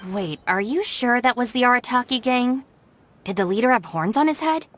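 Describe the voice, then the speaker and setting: A woman voicing a sensible, composed young gang deputy in a game, polite and measured with dry incredulity. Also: fairly high pitch, animated, slight background noise, close to an everyday voice. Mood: stunned disbelief. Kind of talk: anime-style game dialogue.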